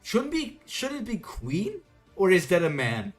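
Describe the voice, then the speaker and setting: A man's voice: sits high, wildly swooping pitch, a little slow, faint music behind. Chatty streamer man. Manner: chatty, self-questioning, reads chat aloud. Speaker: webtoon reaction streamer. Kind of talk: live reading reaction.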